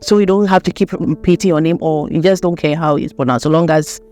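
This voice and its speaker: A woman hosting a casual interview chat. She talks in a lively way, laughs often and asks long, rambling questions. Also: low-pitched, fast talker, soft background music. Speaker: host.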